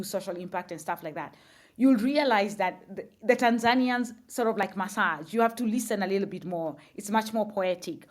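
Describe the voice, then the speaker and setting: A woman, a guest on a podcast interview, explaining thoughtfully with examples and frequent fillers. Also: medium pitch. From Kenya.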